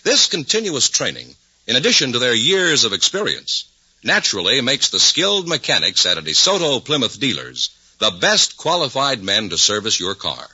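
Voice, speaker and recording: A man's voice: medium pitch, wildly swooping pitch, normal speed, pushed and bright, slightly rough, no room sound, muffled recording. A man reading a scripted 1950s radio advertisement with an emphatic, polished sales delivery on a vintage recording. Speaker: commercial announcer.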